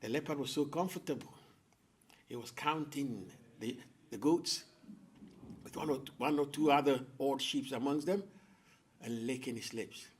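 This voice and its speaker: A man telling a folktale as host, with theatrical, deliberate phrasing and dramatic pauses. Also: mid-range voice, slight background noise. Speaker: storyteller, host. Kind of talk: oral folktale storytelling to an audience.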